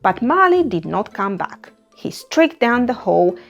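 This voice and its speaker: Unhurried, very expressive woman storyteller. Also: mid-range voice, gravelly, muffled recording. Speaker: storyteller reading a picture book aloud. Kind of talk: animated read-aloud narration for young children. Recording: music behind the voice. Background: music.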